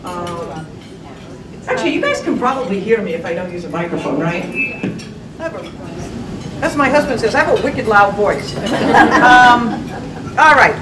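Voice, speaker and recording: A woman's voice: medium pitch, sing-song, unhurried, pauses often, a loud crowd, very noisy. A woman, a TV news reporter giving a chatty, self-deprecating trivia talk to an audience in a museum hall. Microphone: handheld microphone. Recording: echoey hall, mic at a distance.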